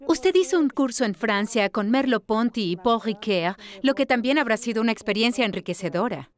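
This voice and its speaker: A man's voice: very high voice, expressive, fairly quick. A male actor dubbing an attentive, well-read interviewer with an inquisitive, conversational delivery. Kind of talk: voice-over dub of a conversational interview.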